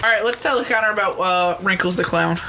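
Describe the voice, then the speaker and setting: A man's voice: sits high, a little fast. A man telling anecdotes in casual, informal talk as a podcast co-host, often quoting other people. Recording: loud background noise.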